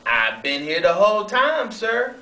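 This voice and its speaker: Echoey distant recording, man acting officious railroad underling, sharp bright voice, self-important, formal, period sketch. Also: high-pitched, big pitch swings, unhurried, exaggerated voice. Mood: obedient. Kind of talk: amateur read-through of a period sketch. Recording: echoey room, distant mic.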